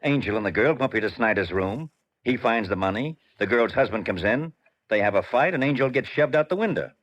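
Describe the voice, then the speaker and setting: A skeptical police detective from an old radio mystery, played by a man. He talks fast and bluntly, with lively, swinging intonation. The recording is muffled, with a faint hiss. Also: mid-range voice, close to an everyday voice. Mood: skeptical, insistent. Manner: questioning, blunt. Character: excitable, skeptical male police detective sidekick. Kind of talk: old-time radio detective drama acting.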